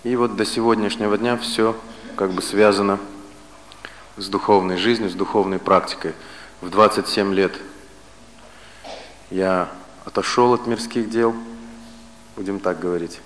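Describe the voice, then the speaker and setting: A man's voice: lowish voice, fairly even intonation, slight background noise. Man in his thirties lecturing in a reverberant hall. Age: 30s. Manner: measured, unhurried delivery with pauses and restarts. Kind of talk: lecture on philosophy.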